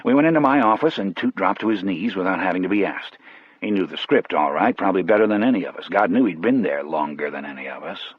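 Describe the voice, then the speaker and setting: A male audiobook narrator with a low, husky voice tells a first-person story. The delivery is measured and wry. The sound is very dry and a little muffled. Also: lively intonation, no room sound.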